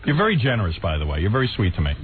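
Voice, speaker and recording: Thin radio sound, animated male host. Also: on the low side, rapid-fire, narrow, tinny audio, background noise. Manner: teasing, blunt. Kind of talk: casual studio banter, questioning guests. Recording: thin band-limited radio sound, studio chatter.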